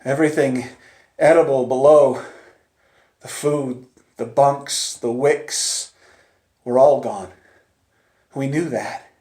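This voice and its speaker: A man telling a dramatic first-person story in a theatrical manner, moving between hushed tones and urgent cries. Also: medium pitch, steady tone, takes it slowly, long pauses. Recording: echoey, roomy sound.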